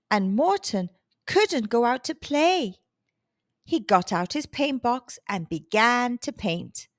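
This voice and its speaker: High-pitched, animated woman storyteller, slow pace. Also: no room sound, clean studio sound. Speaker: children's storytime host and narrator. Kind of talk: expressive read-aloud storytelling for young children.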